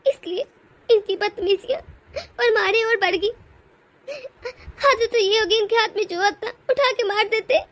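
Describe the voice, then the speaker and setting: A woman's voice: extremely high pitch, unhurried, a bit bright. A woman voicing a meek, long-suffering mother-in-law in a cartoon family drama, crying as she speaks. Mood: tearful. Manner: sobbing, resigned.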